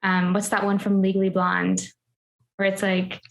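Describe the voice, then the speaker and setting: A woman's voice: medium pitch, monotone, moderate pace, gravelly. A woman talking casually on a book club podcast, enthusiastic and playful.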